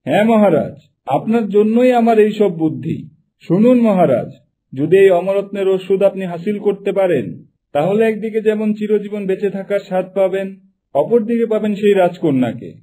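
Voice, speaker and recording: A cunning cartoon minister, performed by a man, with a high, dark-toned voice. He is flattering and sly, always deferring obsequiously to his master. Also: normal speed, rough recording. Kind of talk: Bengali cartoon dubbing.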